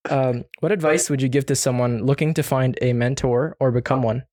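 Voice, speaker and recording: A man's voice: mid-range voice, steady tone, brisk, a little mellow, no echo. A male podcast host with a mid-range voice, talking earnestly and encouragingly to his guest. The recording is dry and close, with no room sound. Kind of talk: casual conversation.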